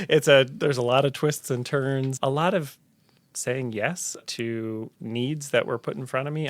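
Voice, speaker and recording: A man's voice: medium pitch, no room sound. A middle-aged man from Tanzania, a health center director, answering interview questions in an earnest, reflective storytelling manner. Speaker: health center executive director, podcast guest.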